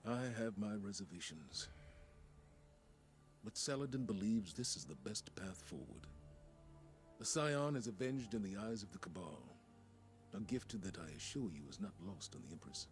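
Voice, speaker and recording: An actor playing a stern, reflective, dutiful commander in a video game, speaking in a grave, measured way. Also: male voice, low-pitched, very animated, soft background music. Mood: grave, relieved. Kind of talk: dramatic video game monologue.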